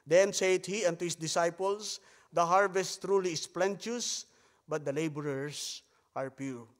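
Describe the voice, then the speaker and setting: Filipino preacher with a hesitant delivery. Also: male voice, high voice. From Philippines. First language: Tagalog. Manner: halting delivery with frequent hesitations; softer, hushed tone while praying. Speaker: visiting missionary pastor. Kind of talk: sermon with Bible reading and prayer. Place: church sanctuary. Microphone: pulpit microphone. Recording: long church hall reverb.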